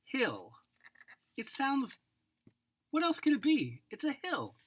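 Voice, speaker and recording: A woman giving an expressive children's picture book read-aloud, savoring words dramatically and speaking directly to the listener. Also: sits high, slightly rough. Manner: savors single words with drawn-out, dramatic delivery and occasional whispers. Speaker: storybook reader.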